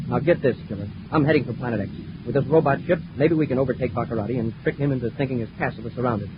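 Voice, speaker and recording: A man's voice: medium pitch, racing, natural voice. A man playing an eager, brave young American space cadet in a vintage radio adventure serial. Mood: determined. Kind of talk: 1950s radio serial acting. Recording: muffled noisy old radio recording.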